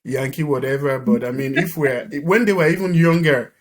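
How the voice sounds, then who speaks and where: A man's voice: mid-range voice, moderate pace. A middle-aged man with a Nigerian accent, talking as a guest in a conversational interview and often repeating and restarting his words. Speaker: podcast guest.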